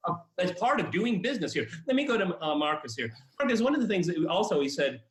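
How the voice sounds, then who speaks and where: Male talk show host, slightly high-pitched, halting and emphatic political discussion, roomy echo. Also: very animated, fairly bright tone. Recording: echoey room.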